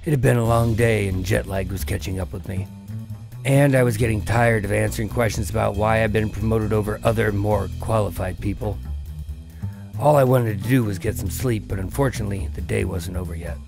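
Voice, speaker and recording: A man acting as a dry, wry undercover agent who narrates his mafia infiltration in a radio drama, in a measured, understated way. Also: fairly low pitch, vocal fry, over music.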